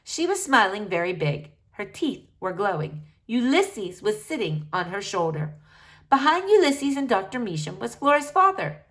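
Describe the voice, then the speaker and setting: A woman narrating a children's book aloud in an expressive storytelling style. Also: mid-range voice, moderate pace.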